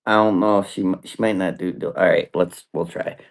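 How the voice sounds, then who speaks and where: A man chatting casually and dictating a story prompt, halting as he thinks aloud. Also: low-pitched, steady tone, vocal fry.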